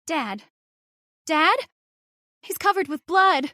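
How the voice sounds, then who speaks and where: An actress plays a frightened young daughter in a radio horror play. Her voice is extremely high and animated, with a dry, close sound. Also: slow pace, dry recording, exaggerated voice. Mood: anxious. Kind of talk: old-time radio horror acting.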